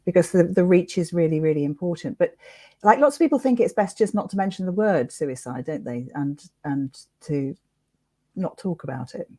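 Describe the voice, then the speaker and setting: A woman's voice: lowish voice, expressive, moderate pace, mellow, dry recording. A British woman talking in a conversational interview, earnest and informal in her manner. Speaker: interviewer and suicide prevention campaigner.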